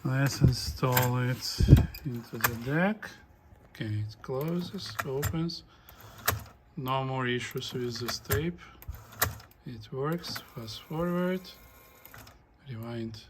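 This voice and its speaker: Slow, halting man doing a repair demo. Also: mid-range voice, very noisy. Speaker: hobbyist demonstrating a tape deck repair. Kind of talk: casual hands-on repair demonstration, talking while working. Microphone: phone held in front of him.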